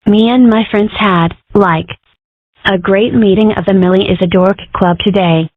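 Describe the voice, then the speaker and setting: A woman's voice: mid-range voice, fairly even intonation, normal speed, no room sound, lightly acted. A woman voicing a snide, popular schoolgirl bully in a scripted comic-strip dub. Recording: muffled, phone-like narrow band.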